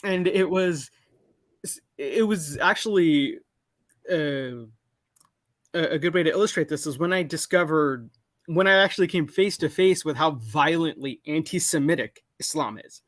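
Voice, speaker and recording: A young man calling in to a live show, talking in a halting way full of restarts and self-corrections. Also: fairly high pitch, fairly bright tone, no room sound, studio quality. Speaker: caller. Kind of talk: casual call-in conversation. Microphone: call-in over a video call.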